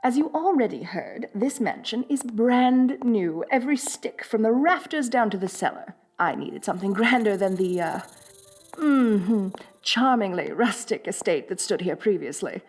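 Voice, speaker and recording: A woman's voice: medium pitch, expressive, moderate pace, darkish tone, natural voice. A woman playing a rich, bossy lamia mistress in a roleplay audio drama, haughty and condescending with affected elegance. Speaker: wealthy mistress addressing a new servant.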